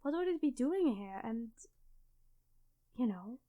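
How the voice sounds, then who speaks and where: A woman's voice: a little high, sing-song, slow, phone-quality sound. A woman playing a clumsy, nerdy classmate who stammers and rambles apologetically in an ASMR roleplay. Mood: soft wonder. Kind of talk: ASMR audio roleplay addressed to the listener.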